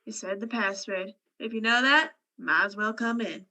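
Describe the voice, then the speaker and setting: A woman's voice: medium pitch, moderate pace, pushed and bright, lightly acted. A woman playing a brazen, fragile young liar in a radio crime drama. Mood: taunting, playful. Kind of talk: old-time radio crime drama acting.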